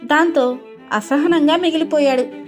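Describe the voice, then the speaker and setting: A woman's voice: high-pitched, a little slow, a little mellow, soft background music. A woman storyteller reading a scripted story narration.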